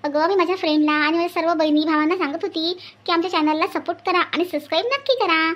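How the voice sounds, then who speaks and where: A woman's voice: extremely high pitch, quick pace, a bit bright. A woman voicing a pampered, clingy young daughter in a cartoon family skit, speaking in a wheedling, childlike manner. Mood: sweet, coaxing.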